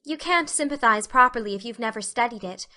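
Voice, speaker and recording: A woman acts out a young storybook schoolgirl, earnest and chatty. The voice is fairly high and very bright. Sentences come out wordy and melodramatic, with great seriousness about small things. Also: expressive, a little fast. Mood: plaintive. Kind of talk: storybook character dialogue.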